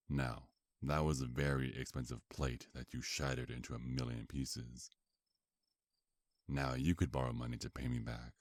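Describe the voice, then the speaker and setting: A man playing a seductive, possessive vampire mafia boss in an ASMR roleplay, with a taunting, drawn-out, intimate murmur. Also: extremely low pitch, no echo, clean studio sound.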